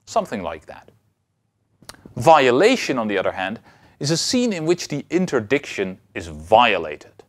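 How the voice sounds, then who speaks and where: A man in his thirties giving an explanatory lecture as a university lecturer, phrasing deliberately and emphatically with pauses. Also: medium pitch, huge pitch swings.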